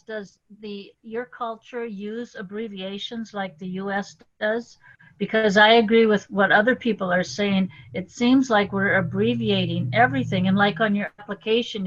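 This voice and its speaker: A woman's voice: mid-range voice, a bit bright. A woman asking questions in a casual group discussion, curious and conversational. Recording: dull, lo-fi sound.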